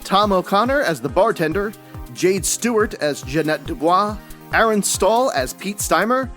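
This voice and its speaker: A man's voice: high-pitched, expressive, unhurried, fairly bright tone, soft background music. A man working as a credits announcer, reading a scripted announcement.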